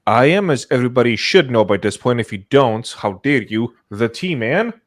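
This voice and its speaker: A man's voice: medium pitch, lively intonation, moderate pace. A man hosting a casual livestream chat, talking in a jokey, playfully self-important way. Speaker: podcast host.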